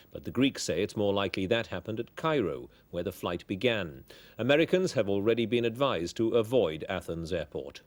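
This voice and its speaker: A man with a lowish voice reads the evening news from a studio desk. His delivery is crisp and even, with the polished restraint of a 1980s broadcast. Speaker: newsreader. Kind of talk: read news bulletin.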